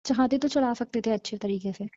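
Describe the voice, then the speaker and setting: A woman's voice: fairly high pitch, brisk, mellow, dry recording, muffled recording, close to an everyday voice. A young woman playing a blunt, scornful girlfriend breaking up with her boyfriend in a naturalistic audio drama of a couple's call. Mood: cold, dismissive. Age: young adult. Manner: blunt, dismissive, argumentative.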